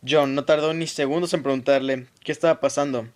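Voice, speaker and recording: A man's voice: mid-range voice, fairly even intonation, brisk, darkish tone. A man reading scripted narration for a radio drama, telling a story in the third person.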